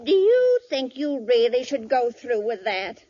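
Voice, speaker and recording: A slow-talking, expressive radio sitcom maid. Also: female voice, a little high. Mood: doubtful. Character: loyal, conspiratorial housemaid, respectful to her mistress. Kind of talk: 1950s radio sitcom acting. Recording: muffled old radio recording with hiss.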